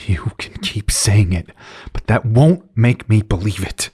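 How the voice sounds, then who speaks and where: A grieving male superhero in an audio drama. He speaks slowly in a rough, breathy voice, halting and guarded, in a dry recording. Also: lowish voice, lively intonation, darkish tone, no echo, exaggerated voice. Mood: stunned, doubtful. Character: Scott, grieving, stunned, mistrustful male superhero.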